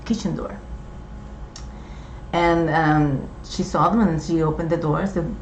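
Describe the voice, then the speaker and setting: Slow gravelly Puerto Rican woman. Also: low-pitched, steady tone, a little hiss or hum. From Puerto Rico. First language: Spanish. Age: middle-aged. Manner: slow, halting, with many fillers. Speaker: co-host. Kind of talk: casual chat. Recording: dull, echoey room recording.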